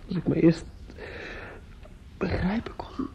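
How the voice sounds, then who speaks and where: An actor playing a grieving, bitter and desperate young man in a radio drama, halting and often dropping into whispers. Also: high-pitched, vocal fry, dark tone, exaggerated voice. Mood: pleading, hushed. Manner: halting, repetitive, drops into whispers. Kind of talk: radio drama monologue acting. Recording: dull, noisy old radio recording.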